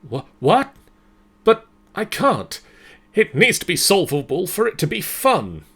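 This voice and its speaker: A man voicing an anxious young puzzle designer who talks in a panicky, high-strung way. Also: wildly swooping pitch, a little slow, fairly bright tone, slightly rough, full character voice. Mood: startled.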